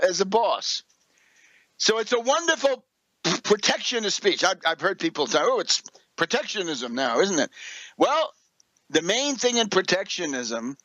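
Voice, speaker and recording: A man's voice: high voice, bright, forceful tone, no room sound, rough recording. A man giving an opinionated, off-the-cuff political monologue as a radio commentator, emphatic and sardonic, lecturing with rhetorical asides.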